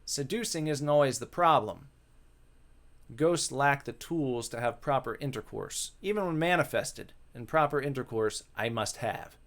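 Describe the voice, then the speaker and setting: A man's voice: medium pitch, lively intonation, unhurried, fairly bright tone. Man voicing a lovelorn, earnest young male ghost. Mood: earnest, frustrated. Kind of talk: dramatic visual novel reading. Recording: music behind the voice.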